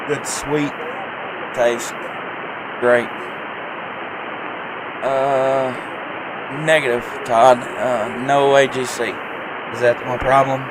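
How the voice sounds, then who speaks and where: A man hosting a casual live stream as an amateur radio operator, talking in a halting, folksy, rambling way. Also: medium pitch, flat delivery, background noise. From Tennessee, United States.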